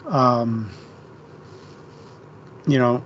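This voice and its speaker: A man's voice: mid-range voice, very slow. A man hosting a book discussion stream, speaking informally to his co-host and the live chat. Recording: dull lo-fi stream, faint noise.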